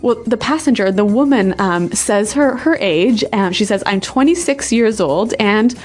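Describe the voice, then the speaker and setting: A woman co-hosting a conversational English lesson, explaining and demonstrating everyday phrases clearly as a teacher. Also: mid-range voice, lively intonation, unhurried, faint music behind. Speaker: podcast co-host, English teacher.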